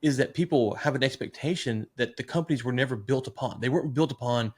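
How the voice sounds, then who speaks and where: A man's voice: mid-range voice, fast talker, slightly rough. A man in his late thirties talking conversationally as a business podcast host, animatedly acting out what other people say. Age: late 30s.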